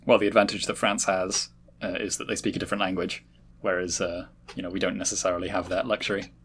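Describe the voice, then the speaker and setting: A man's voice: low voice, little pitch movement, fast talker, a bit bright, a little hiss or hum. A man talking discursively in a casual political discussion, often correcting himself mid-sentence. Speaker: podcast panellist.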